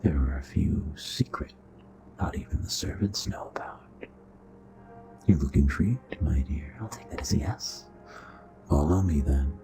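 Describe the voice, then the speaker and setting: A seductive, predatory royal vampire, acted by a man for an ASMR roleplay. His voice sits extremely low and often slips into a breathy whisper. He speaks slowly, with courtly charm and a possessive menace. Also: music in the background, full character voice, low-quality recording. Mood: suave, inviting. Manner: slow, hushed, courtly and menacing. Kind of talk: intimate ASMR roleplay acting.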